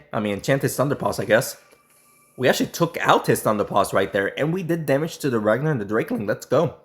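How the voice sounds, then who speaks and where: Hoarse sick man commenting on gameplay. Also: mid-range voice. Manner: throat clearing, halting restarts. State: sick, voice strained and nearly lost. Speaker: gaming YouTuber.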